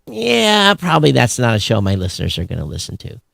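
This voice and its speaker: A man's voice: medium pitch, huge pitch swings, brisk, vocal fry, a bit bright, dry recording, studio quality. A man talking casually and bluntly as a podcast co-host about his industry.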